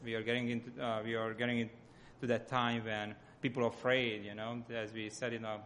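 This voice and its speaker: A man with a Hungarian accent gives an informal sermon as a lay church speaker, often halting as he searches for words. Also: medium pitch, little pitch movement, fairly bright tone, a little hiss or hum.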